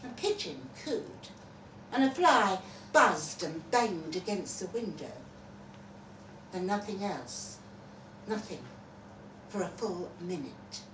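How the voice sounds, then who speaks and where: Low-voiced woman reading a story, echoey and distant. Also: very animated, slow. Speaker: reader of a short story at a festival. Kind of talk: expressive live literary reading. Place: live festival reading in an echoey room. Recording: echoey venue, far from mic, noisy.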